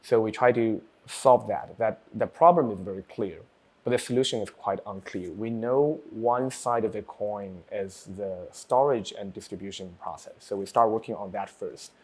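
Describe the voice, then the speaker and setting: A man, a developer and researcher, explaining his work in a thoughtful way with frequent pauses. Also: fairly low pitch, a little mellow, breathy edge. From China. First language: Chinese. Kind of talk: personal project presentation.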